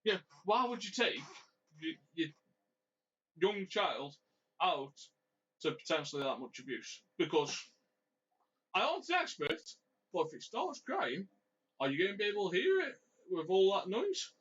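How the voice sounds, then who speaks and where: Guy with a bright punchy voice, asks lots of questions, giggles, muffled audio. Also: sits high, very animated, a little slow, long pauses, breathy edge. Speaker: podcast co-host. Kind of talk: casual wrestling chat. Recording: dull lo-fi audio.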